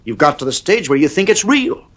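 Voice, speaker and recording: A man's voice: fairly high pitch, big pitch swings, brisk, a bit bright. An actor playing a stern, worried middle-aged father in a radio drama, firm and exasperated. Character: stern, worried father at his wits' end.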